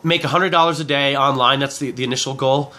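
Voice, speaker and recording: A man in his forties with a head cold, giving a casual, rambling video pitch as an online product reviewer, informally repeating and rephrasing himself. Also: fairly even intonation, fast talker, bright, forceful tone. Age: 40s. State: head cold, clearing throat. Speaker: affiliate marketing reviewer.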